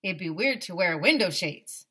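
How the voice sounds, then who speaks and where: A woman's voice: on the low side, animated, normal speed, pushed and bright, slightly rough, heavily acted. A woman voicing a cocky, silly young schoolboy who tells his own story in a blunt, teasing way. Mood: puzzled.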